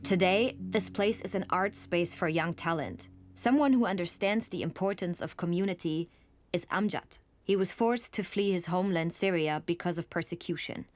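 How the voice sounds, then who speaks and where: A woman's voice: on the low side, moderate pace, soft background music. A woman presenting a documentary in reflective scripted narration, written like a personal letter.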